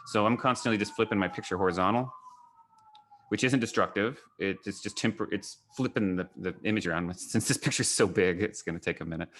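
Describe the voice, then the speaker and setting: Deep-voiced, bright American man, rambling storyteller. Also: fairly quick, slight background noise. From Kentucky, United States. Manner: rambling, anecdotal, quotes people often. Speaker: photographer and artist giving a gallery talk. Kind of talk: informal online talk, answering questions. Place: video call.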